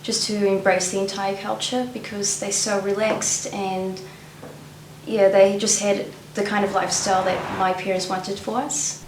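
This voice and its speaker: A young woman from South Africa, speaking in a calm, measured way in an interview. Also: mid-range voice. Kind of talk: interview talk. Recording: echoey and distant, with faint noise.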